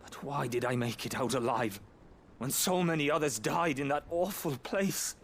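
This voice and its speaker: An actor playing a grieving, earnest survivor of a cruel orphanage in a video game, speaking in a solemn, formal way. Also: male voice, mid-range voice, slight background noise, lightly acted. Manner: solemn, formal, measured speech. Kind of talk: dramatic video game acting.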